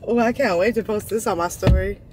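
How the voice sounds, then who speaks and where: A woman's voice: medium pitch, fairly quick, background noise. A young woman from near Houston, bantering bluntly and sassily in slangy casual talk inside a parked car. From Texas, near Houston. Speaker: prank target. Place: outdoors on a street, then inside a parked luxury car.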